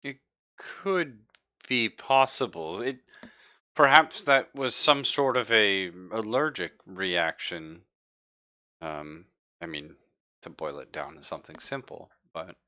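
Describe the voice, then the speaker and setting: A man playing a hesitant scientist in a tabletop sci-fi horror roleplay, explaining haltingly with stammers and evasions. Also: lowish voice, expressive, pushed and bright, no echo, studio quality, full character voice. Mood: tentative. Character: evasive, halting male scientist, recently woken from cryosleep. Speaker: role-player in a sci-fi horror game. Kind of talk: improvised in-character tabletop roleplay.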